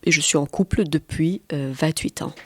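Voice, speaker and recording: A woman's voice: sits low, moderate pace, darkish tone, breathy edge, no echo. A woman in her forties with a Belgian French accent, answering interview questions conversationally and hesitantly as she searches for words. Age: 40s. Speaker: guest author and artist.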